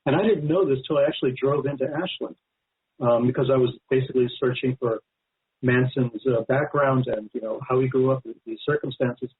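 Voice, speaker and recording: Very husky American man on phone line. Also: mid-range voice, a little fast. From United States. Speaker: author, interview guest. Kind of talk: explanatory interview answer. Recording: narrow phone-line sound.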